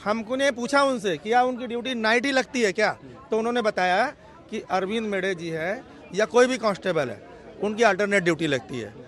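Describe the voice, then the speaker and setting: Man, high voice, earnest activist statement, emphatic repetition, outdoor news interview, crowd murmur. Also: animated, a little fast, fairly bright tone. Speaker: activist spokesman. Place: outdoors near a police station.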